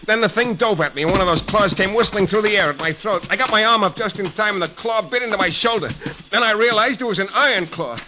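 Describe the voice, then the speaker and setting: Bright-voiced hardboiled radio detective. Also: male voice, high-pitched, fast. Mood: tense, dramatic. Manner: hardboiled, clipped, wry. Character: tough, wry male private detective narrating his case. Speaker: lead actor and narrator. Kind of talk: 1940s radio detective acting. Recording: noisy vintage radio recording.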